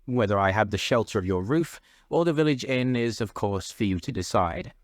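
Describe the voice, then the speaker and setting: A man voicing a calm gentleman detective in a classic story, with a bland, polite, precise manner. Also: on the low side, normal speed, dry recording, clean studio sound, lightly acted. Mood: bland, assured.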